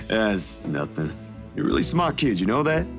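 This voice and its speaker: An actor playing a troubled but kind young man in a visual novel, speaking haltingly. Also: medium pitch, sing-song. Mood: gentle, amused. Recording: music behind.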